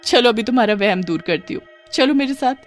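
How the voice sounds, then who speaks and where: A woman's voice: a little high, expressive, brisk, soft background music, pitched above the performer's own voice, lightly acted. A woman voicing a sceptical, teasing young friend in a horror story, conversational and dismissive.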